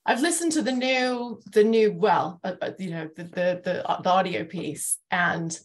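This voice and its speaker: A woman in her forties with a medium-pitched voice leads a discussion in an earnest, considered way. She feels for her phrasing as she talks, speaking on a headset over a video call from home. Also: normal speed. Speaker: host, interviewer. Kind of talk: thoughtful discussion hosting. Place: living room at home, indoors, on a video call. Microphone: wired earphone headset on a video call.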